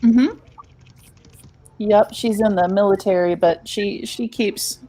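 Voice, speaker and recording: A woman's voice: medium pitch, slow pace, mellow, rough recording. A woman in her forties chatting casually with friends, telling a rambling family story. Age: 40s. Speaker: painting group member. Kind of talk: casual chat.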